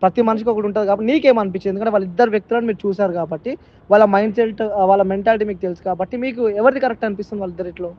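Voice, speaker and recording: A man's voice: sits high, rapid-fire. A man conducting an informal interview, rephrasing his questions and repeating answers back. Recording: dull, lo-fi call recording.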